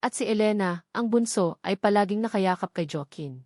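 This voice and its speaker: A woman's voice: mid-range voice, expressive, moderate pace, darkish tone, no room sound. Filipino woman narrating, very dry sound. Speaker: story narrator. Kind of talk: scripted narration of a romance story.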